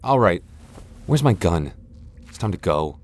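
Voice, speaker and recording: A man acting a gruff tsundere vampire lord in a roleplay audio drama, curt and easily flustered, secretly protective, with a gravelly voice. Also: sits low, very animated, unhurried, heavily acted. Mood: flustered, annoyed.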